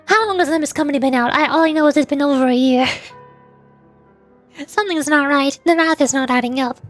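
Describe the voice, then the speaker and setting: Girl vtuber rambling to chat, high voice, soft game music behind. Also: brisk. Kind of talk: casual livestream chat.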